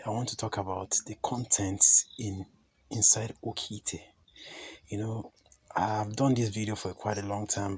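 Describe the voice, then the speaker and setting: Hoarse man, earnest teaching. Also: lowish voice, a little hiss or hum. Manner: earnest, repetitive, frequent rhetorical "hmm?". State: slightly hoarse from a cold. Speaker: chief priest teaching on spirituality. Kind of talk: talking-head teaching to camera.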